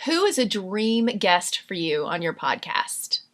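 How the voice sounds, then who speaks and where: A woman's voice: medium pitch, very animated, measured pace. A woman hosting an interview show, gushing and enthusiastic with her guest.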